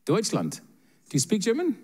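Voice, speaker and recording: An older man giving prophetic words to listeners in a church sanctuary, speaking with a handheld mic in a lively, joking, direct manner. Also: high voice, moderate pace, very noisy. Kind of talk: prophetic ministry to congregation. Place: church sanctuary with seated congregation.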